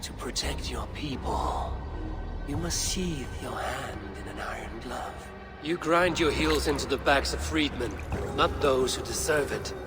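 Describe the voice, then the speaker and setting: A man plays a grave Viking warrior from a video game. He speaks at a medium pitch, stern and accusing, defiant in tone, with loud music behind him. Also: a little slow, lightly acted. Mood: stern defiance. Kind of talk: dramatic game voice acting.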